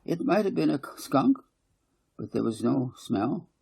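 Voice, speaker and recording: A prim, assertive little girl from a storybook, acted out by a man, bossy and matter-of-fact. The voice is lifted well above his normal pitch, with a husky edge. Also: big pitch swings, unhurried, darkish tone, full character voice. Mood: earnest.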